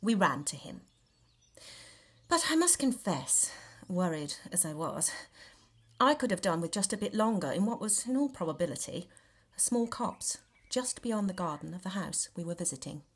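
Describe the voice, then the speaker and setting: A woman narrates literary fiction as an audiobook reader. Her voice sits a little low and moves very freely in pitch. The delivery is theatrical and measured, with dramatic emphasis. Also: very animated, slightly rough. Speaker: reader of a novel extract. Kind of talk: expressive scripted audiobook narration.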